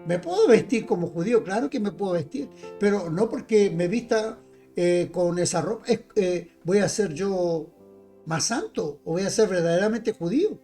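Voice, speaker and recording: The mellow voice of an evening online church broadcast, preaching insistently to the faithful while a guitar plays faintly behind. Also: mid-range voice, animated, moderate pace. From Chile. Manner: emphatic, direct address to listeners, repeats phrases. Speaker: evangelical preacher. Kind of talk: informal Bible teaching.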